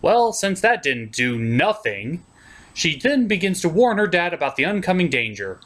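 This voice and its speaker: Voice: medium pitch, sing-song, moderate pace, a bit bright. A puppet show film reviewer giving a partly scripted comedic review in a crude, mock-exasperated ranting manner.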